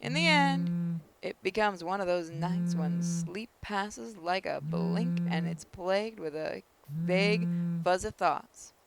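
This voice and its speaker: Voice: slow, a crowd in the background. A person reading visual novel narration and commenting casually as a gaming host, now and then stumbling over words. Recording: clearly noisy mic with low rumble.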